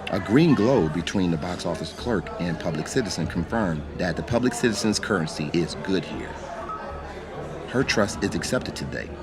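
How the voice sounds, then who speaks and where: A man's voice: low-pitched, lively intonation, normal speed. A man reading scripted narration for a radio drama. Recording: narration over music. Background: music.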